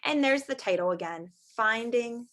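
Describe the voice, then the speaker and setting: A woman with a fairly high voice speaks as an elementary teacher sharing a picture book with little kids. She explains things in simple words, puts questions to her young listeners, and delivers it all expressively. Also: animated, normal speed, a bit bright. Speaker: elementary teacher reading aloud to children. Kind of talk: storybook read-aloud lesson for young children. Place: online video session with screen sharing.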